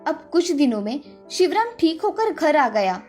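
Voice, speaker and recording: A woman's voice: sits high, very animated, normal speed, darkish tone, faint music behind. A woman narrator reading a scripted moral story for children.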